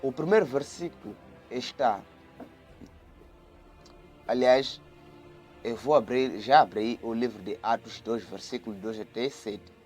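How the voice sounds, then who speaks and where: A man's voice: medium pitch, a little slow, pauses often, faint music behind. A man giving a video Bible study, reading scripture aloud and explaining it in an earnest way, often repeating words and restarting. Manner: earnest, repeats words and restarts, grows lively when retelling. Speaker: Bible teacher.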